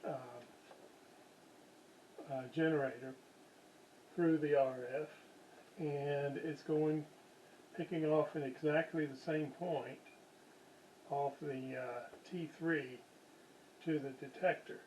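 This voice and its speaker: A man giving an unscripted hands-on technical demonstration, haltingly thinking aloud as he works at radio repair. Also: on the high side, steady tone, a little mellow, a little hiss or hum, poor audio.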